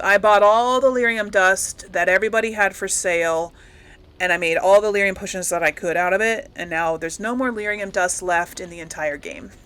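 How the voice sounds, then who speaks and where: A woman's voice: medium pitch, fairly bright tone. A woman giving casual, rambling commentary as she plays a game, often correcting herself and lightly amused. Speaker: gaming host.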